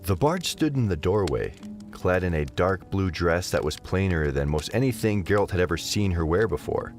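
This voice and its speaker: A man's voice: deep voice, sing-song, normal speed, faint music behind. A man narrating a scripted fantasy audio drama in a dramatic storytelling style.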